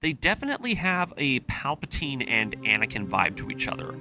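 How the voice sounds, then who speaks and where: A man delivering an enthusiastic video essay as an excitable fan, informal and emphatic, with a storyteller's sense of drama. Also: medium pitch, a little fast. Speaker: video creator and narrator. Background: music under the voice.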